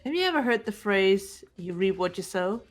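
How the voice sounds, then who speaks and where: Cool analytical teen girl sleuth, woman voicing, calm, measured, mid pitch. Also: soft background music, close to an everyday voice. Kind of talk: amateur voiced game playthrough.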